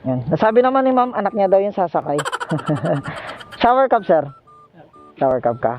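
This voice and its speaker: A high-pitched Filipino man talks casually into a helmet mic out on the road. He is polite and jokey, narrating his motorcycle taxi rides, with music playing clearly behind him. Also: very animated, normal speed, highs cut off. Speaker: motorcycle taxi rider and vlogger. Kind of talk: casual ride vlog chat. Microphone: helmet intercom microphone.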